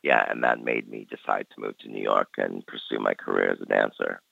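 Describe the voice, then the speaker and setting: A man's voice: very deep voice, fairly even intonation, a little fast, gravelly, fairly bright tone, no room sound. A man in his forties who grew up in Canada, reflecting easily on his dance career as an interview guest. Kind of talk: casual interview talk. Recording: dry, dull lo-fi recording.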